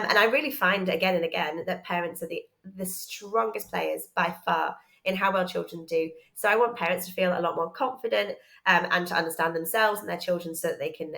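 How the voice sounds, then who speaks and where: This is a woman with a slightly low voice. She presents a course in an informal way, explaining it piece by piece. Her sentences often pause and pick up again with small hesitant asides. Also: vocal fry, fairly bright tone, poor audio. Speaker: educational psychologist and course presenter.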